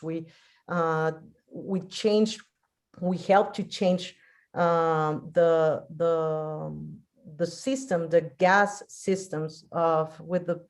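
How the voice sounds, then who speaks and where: Slow-talking Colombian woman, halting delivery. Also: on the low side. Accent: Colombian Spanish. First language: Spanish. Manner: halting, searching for words in non-native English. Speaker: guest speaker. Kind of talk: unscripted personal storytelling.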